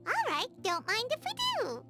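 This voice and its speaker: A tiny floating companion creature from a video game, bubbly and chatty. The voice sits extremely high and leaps all over the place. She refers to herself by her own name. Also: wildly swooping pitch, normal speed, bright, forceful tone, faint music behind, full character voice.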